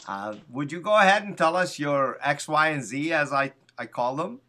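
A man's voice: fairly high pitch, animated, moderate pace, bright, forceful tone. A middle-aged man hosting a casual video call interview from a small office, chatty and enthusiastic. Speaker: interview show host. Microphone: webcam on a video call.

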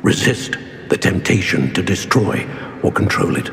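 An actor playing a solemn old wizard in an enchanted portrait for a video game, measured and formal, in long reverb. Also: male voice, deep voice, lively intonation, creaky, a bit bright, full character voice. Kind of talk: cinematic video game acting.

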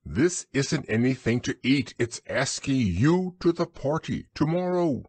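A fussy, self-important owl speaks in a raised, prim voice, as a man puts him on. It sounds slightly husky and close, with no room sound. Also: mid-range voice, lively intonation, unhurried, a little mellow, no room sound, voice pushed up.

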